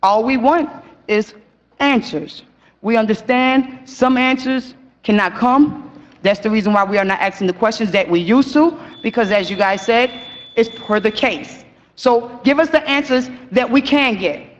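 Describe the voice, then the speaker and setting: A woman's voice: fairly high pitch, moderate pace. A young woman speaking at a podium in a council chamber, pressing urgent, repeated questions. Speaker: victim's sister at council meeting. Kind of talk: public comment speech. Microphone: podium mic. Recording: dull meeting audio with long hall reverb.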